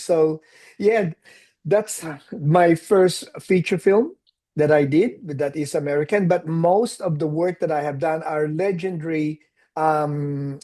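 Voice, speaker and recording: Casting: Filipino-accented man, late sixties, slightly higher voice, reflective interview guest. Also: warm tone. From Philippines. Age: 60s. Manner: reflective storytelling, mixes in Tagalog phrases. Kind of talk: casual interview conversation.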